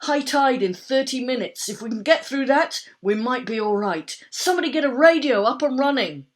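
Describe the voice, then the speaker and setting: A woman's voice: high voice, animated, normal speed, voice pushed up. A woman voicing a neighbour man giving terse orders during a storm. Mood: urgent. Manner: terse, urgent. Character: neighbour man directing flood defence.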